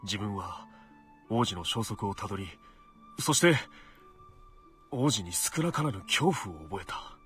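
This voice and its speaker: An actor playing a loyal, troubled knight in a visual novel, speaking formally and haltingly. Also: male voice, lowish voice, expressive, fairly bright tone, soft background music. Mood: troubled, earnest. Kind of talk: Japanese visual novel voice acting.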